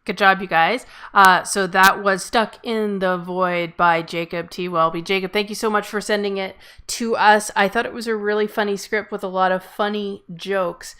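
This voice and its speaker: A woman's voice: mid-range voice, fairly even intonation, moderate pace, few pauses, bright, forceful tone, smooth. A woman hosting and giving casual script feedback in an encouraging but frank way. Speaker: host and amateur screenwriter giving feedback.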